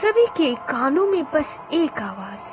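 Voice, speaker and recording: A woman narrates a ghost story as a dramatic storyteller. Her high voice moves with lively swings at an unhurried pace, over clear background music.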